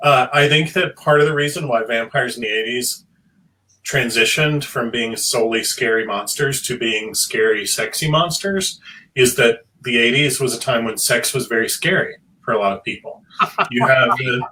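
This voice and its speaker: Male panelist, academic and articulate, forward bright tone, average pitch, slightly off-mic. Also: normal speed, distant mic, rough recording. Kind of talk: analytical panel discussion.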